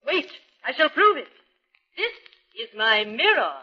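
High-voiced, vain evil queen. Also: sing-song, measured pace. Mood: imperious. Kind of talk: theatrical 1930s radio acting. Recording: dull 1930s radio broadcast.

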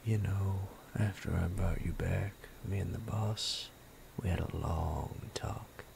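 A man voicing a sadistic male bandit captor in an ASMR roleplay, low and gravelly, often whispering in a menacing, playful way. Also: fairly even intonation, takes it slowly, noisy background, full character voice. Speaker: voice actor.

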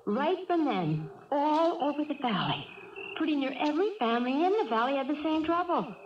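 A woman's voice: high voice, big pitch swings. A woman acting a sweet, hesitant small-town country girl with a Southern US accent in a 1970s radio drama. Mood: folksy storytelling. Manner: folksy, halting. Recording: dull, noisy 1970s radio recording.

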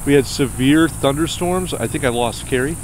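A man in his forties vlogging outdoors from an RV campground, chatty and excitable, rambling with restarts. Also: medium pitch, normal speed, background noise. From New Hampshire. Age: 40s. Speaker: RV travel vlogger. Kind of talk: casual vlog talk to camera.

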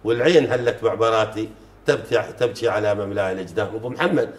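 A middle-aged man, a folk poet chanting verses in measured recitation during a casual sit-down talk in a living room, on a lapel mic. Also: lowish voice. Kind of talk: reciting folk poetry in conversation. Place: living room sofa, indoors. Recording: roomy echo.

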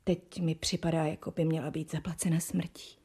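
An actress playing a young noble bride in a radio drama, swinging between loud pleading outbursts and whispered brooding. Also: female voice, sits low, flat delivery, husky.

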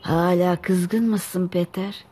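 An actress playing an elderly village grandmother in an animated children's series, stern yet caring and measured. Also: on the low side, slightly rough. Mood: gently stern. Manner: measured, admonishing.

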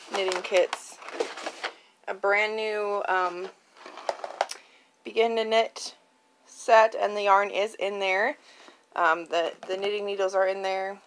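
Lady doing a chatty craft show and tell, slow and kinda creaky, thinking out loud, noise behind her. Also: mid-range voice. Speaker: craft channel host previewing auction items. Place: indoors at home, at a card table. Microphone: handheld phone.

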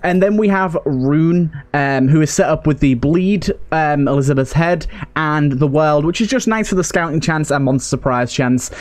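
A man's voice: on the high side, moderate pace. A man hosting a gaming series, giving casual let's-play commentary into a close mic.

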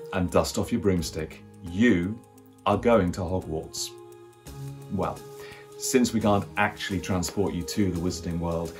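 A man in his forties, an actor presenting a scripted piece to camera from his living room. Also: sits low. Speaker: actor presenting a theatre video. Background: music.

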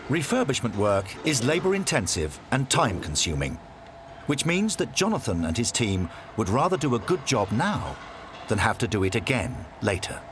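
A man giving scripted documentary narration in a measured, storytelling delivery. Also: mid-range voice, very animated, noisy background. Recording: voice-over with background music.

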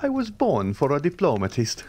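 A man voicing a giddy, boastful young gentleman who gushes eagerly about his good fortune. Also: on the high side, wildly swooping pitch, moderate pace, breathy edge, voice pushed up, exaggerated voice. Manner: eager, gushing, self-satisfied. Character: giddy, boastful young social climber, male.

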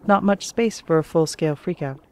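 A woman's voice: lowish voice, fairly quick, mellow, clear, resonant tone, close to an everyday voice. A woman reading an audiobook as a composed, wry author character who narrates and debates. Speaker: audiobook reader.

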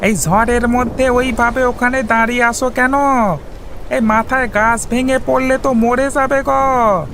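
Man acting a high-pitched rustic farmer. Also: little pitch movement, unhurried, pushed and bright, slight background noise, heavily acted. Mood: wary, irritated. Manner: colloquial rustic calling out. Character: rustic male farmer, irritable, then terrified. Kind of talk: horror cartoon voice acting.

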